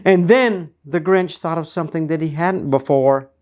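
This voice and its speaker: A man's voice: fairly high pitch, normal speed, a little mellow. A man reading a children's storybook aloud as storyteller, theatrical and emphatic.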